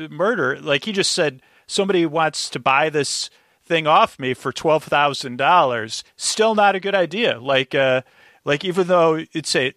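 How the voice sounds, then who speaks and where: A man's voice: on the high side, fairly bright tone, no room sound, studio quality. A man chatting casually as a podcast guest, with a rambling, wry way of commenting.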